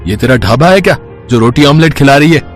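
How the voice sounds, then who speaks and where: A man's voice: medium pitch, lively intonation, fairly quick, faint music behind, lightly acted. A man voicing an irritable bedridden husband in a cartoon, sarcastic and snapping. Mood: angry.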